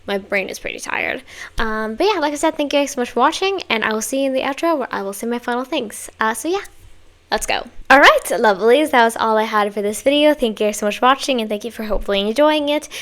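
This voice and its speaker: A woman's voice: fairly high pitch, lively intonation, fast talker, fairly bright tone. A young woman hosting a reaction video, sounding tired with a slightly worn voice, rambling casually with many fillers and restarts.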